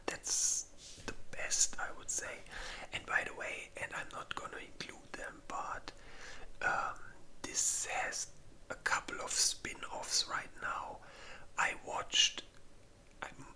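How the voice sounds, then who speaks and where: A breathy, unvoiced whisper in a casual ASMR style, hesitant and informal, giving opinions close to the microphone. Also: pushed and bright. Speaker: ASMR creator reviewing zombie movies.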